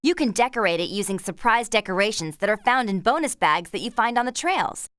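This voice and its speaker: Actress as cheerful young woman rider, video game guide, lively intonation, dry close studio sound, encouraging. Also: mid-range voice, a little fast, dry recording, lightly acted. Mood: friendly, instructive. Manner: clear, upbeat, encouraging. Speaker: game lead character and tutorial guide. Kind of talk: scripted video game instruction and dialogue.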